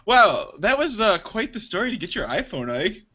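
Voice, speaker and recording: A man on a loose podcast chat throws out teasing wisecracks. His voice is high and bright, with a sharp edge. The recording is dull and lo-fi. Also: big pitch swings, normal speed, husky. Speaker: podcast co-host. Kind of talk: casual joking chat.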